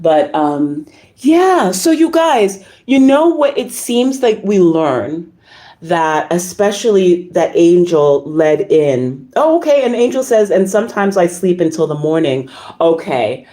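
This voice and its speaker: Woman livestream host, dark relaxed tone, a little hoarse, chatting haltingly and effusively with viewers. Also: fairly low pitch. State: slightly hoarse. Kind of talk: casual livestream chat, reading viewer comments.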